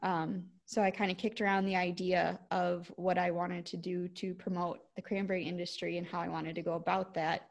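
A woman's voice: flat delivery, moderate pace, creaky, few pauses. A woman, co-hosting a podcast in a rambling, casual way with many fillers. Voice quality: squeaky voice. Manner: rambling, lots of "like" and "um". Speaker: podcast co-host, cranberry grower. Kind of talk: casual chat.

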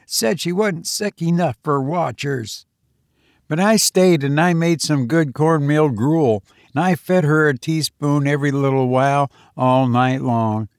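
Slow, creaky-voiced man reading a folksy story. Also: on the high side, no room sound, clean studio sound. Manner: folksy, expressive. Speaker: audiobook reader. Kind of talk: first-person story narration in rustic dialect.

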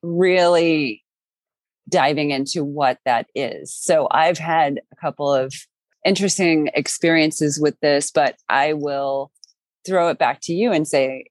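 A woman hosting a podcast, chatting in a calm, reflective way. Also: sits low, a little slow, no echo. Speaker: podcast host. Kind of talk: casual chat.

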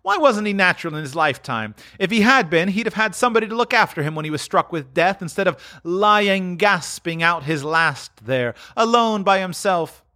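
A man's voice: high-pitched, lively intonation, moderate pace, hardly any pauses, bright, forceful tone, pitched above the performer's own voice. A man doing a brazen old charwoman. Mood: brazen, defiant. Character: bold scornful old charwoman, defiant.

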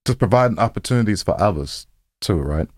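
A man's voice: lowish voice, expressive, brisk, a little mellow, no echo, clean studio sound. A man co-hosting a podcast in casual banter, rambling informally and often repeating his phrases. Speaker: podcast co-host. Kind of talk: casual banter between co-hosts.

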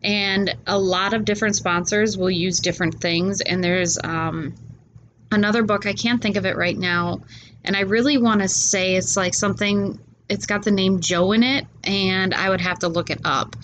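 A woman's voice: mid-range voice, steady tone, moderate pace, fairly bright tone, highs cut off, slight background noise. A woman hosting a solo podcast, talking in an earnest, chatty way with a stuffy nose from a cold. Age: adult parent. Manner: earnest, chatty, laughs at herself. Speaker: podcast host. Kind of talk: casual solo podcast talk.

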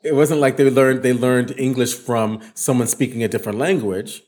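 A man's voice: medium pitch, fairly even intonation, moderate pace, a little mellow. A man hosting an educational podcast, talking with enthusiasm and often repeating himself. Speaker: podcast host, voice teacher. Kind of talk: casual podcast chat.

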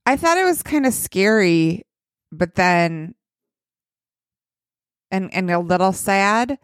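A woman co-hosting a podcast, chatting casually with wry, deadpan asides. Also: mid-range voice, slow pace, dry recording, clean studio sound. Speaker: podcast co-host. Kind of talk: casual chat.

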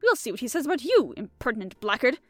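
A woman's voice: fairly high pitch, sing-song, fast, no room sound, soft background music. Woman as vain, self-important male author. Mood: spluttering outrage. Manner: self-important, indignant, spluttering. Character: vain, languid male author, easily outraged.

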